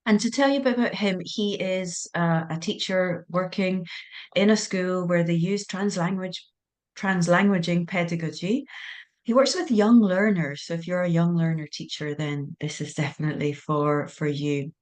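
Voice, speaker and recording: A woman's voice: fairly low pitch, normal speed, darkish tone. A woman introducing a guest speaker on a teaching webinar over a video call, with frequent fillers. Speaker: webinar moderator.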